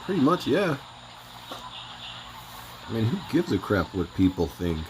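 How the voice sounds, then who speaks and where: A man's voice: lowish voice, big pitch swings, a little slow, background noise. A man casually reacting to game voice lines with laid-back, offhand comments. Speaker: reaction video host.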